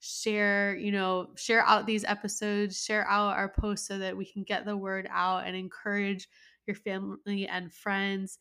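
A woman's voice: mid-range voice, monotone, measured pace, sharp tone. A woman hosting a finance interview podcast, talking in an upbeat, conversational way with the odd "um". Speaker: podcast host.

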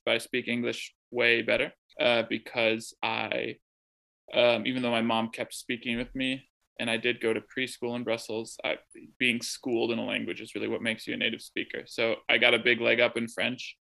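A young American man from the Midwest, speaking as a guest over a remote connection from home. His voice has a gravelly, creaky edge with a bright, forward tone. He thinks aloud, circling back with ums and restarts. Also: medium pitch, low-quality recording. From Midwest of the United States. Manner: thoughtful, rambling with many ums and restarts. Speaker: interview guest, translator. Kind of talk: casual conversational interview. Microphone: remote call from home.

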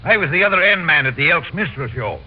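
A man's voice: on the high side, animated, fast, natural voice. An American man playing a jovial, folksy, blustering deliveryman with a taste for showmanship in an old radio comedy. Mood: chummy. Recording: muffled, noisy old radio recording.

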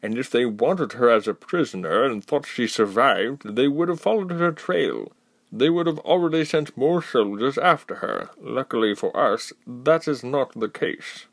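A man doing a calm, formal council spokesman. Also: mid-range voice, no echo, voice pushed up. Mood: measured. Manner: formal, measured.

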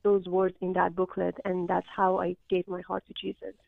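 Persian-accented woman, gravelly and flat. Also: lowish voice, normal speed, no echo. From Iran. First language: Farsi. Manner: earnest, with many fillers and restarts. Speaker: guest. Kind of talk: interview, personal testimony. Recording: tinny phone-like audio.

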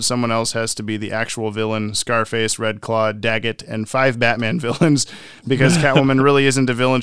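A man's voice: mid-range voice, fairly bright tone, clear, resonant tone, dry recording, clean studio sound. A man co-hosting a podcast, reading listener messages aloud in casual chat with jokey asides. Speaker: podcast co-host.

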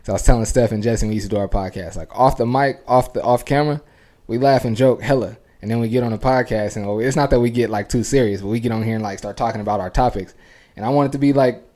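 Man, podcast co-host, quick rambling talk, low mellow voice, casual chat. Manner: fast, rambling storytelling with many fillers. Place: home podcast studio.

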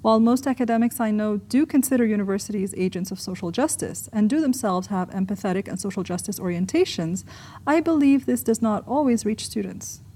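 A woman from Egypt reads her own academic essay aloud in a measured, articulate way. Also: medium pitch, dark tone, breathy edge. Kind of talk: scripted essay narration, read aloud.

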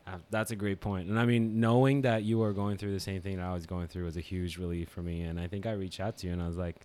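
Male podcast host, low voice, relaxed and casual. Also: quick pace. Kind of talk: casual chat.

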